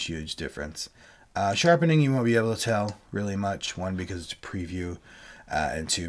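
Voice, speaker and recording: A man who is a bit sick, his voice lower than usual, explaining his video setup in a relaxed, chatty livestream. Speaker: video creator and worship leader hosting a livestream. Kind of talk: casual how-to livestream talk. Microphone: desk microphone, webcam video.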